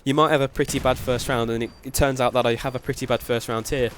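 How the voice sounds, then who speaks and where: A man's voice: mid-range voice, background noise. A young man with a cold, sounding nasal, commentating over gameplay and giving tips, often saying "very, very". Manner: quick, even delivery with repeated "very, very". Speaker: gaming commentator. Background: game gunfire and explosions.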